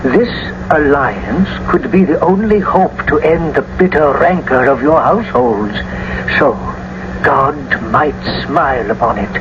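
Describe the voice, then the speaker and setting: An actor playing a kindly, teasing older friar in a classical radio drama, with an expressive, avuncular delivery. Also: male voice, a little high, unhurried. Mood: grave, hopeful. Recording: muffled, noisy old radio broadcast recording.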